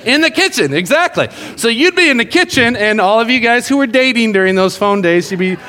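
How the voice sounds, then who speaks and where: A man's voice: extremely high pitch, animated, a little fast, bright, forceful tone, big-room sound. A pastor speaking to his congregation in a casual, joking sermon style. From South America. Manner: jokey, conversational, addresses congregation directly. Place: church sanctuary.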